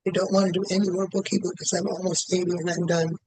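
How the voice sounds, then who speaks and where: A man in his late seventies, a client in a tapping session, who repeats one statement over and over in an even rhythm. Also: sits high, fast talker, dry recording. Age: 70s.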